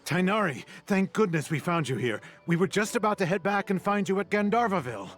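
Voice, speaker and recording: A man's voice: sits high, lively intonation, fairly quick, a bit bright, slight background noise. An actor playing a dutiful male forest ranger in a video game, explaining things earnestly. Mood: urgent, relieved. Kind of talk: English video game voice acting.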